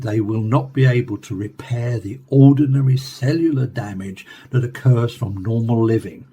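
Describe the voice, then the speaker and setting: Man playing a mellow, measured scientist, noisy background. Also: medium pitch, close to an everyday voice. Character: renowned male professor, measured scientist explaining his research. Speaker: scientist being interviewed. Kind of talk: scripted radio drama interview.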